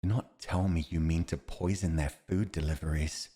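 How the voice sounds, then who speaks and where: A man's voice: low-pitched, steady tone, moderate pace, dark tone, close to an everyday voice. A man acting a principled, earnest army commander advising a monarch in a fantasy audio roleplay, speaking in a formal, emphatic, righteous way. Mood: skeptical.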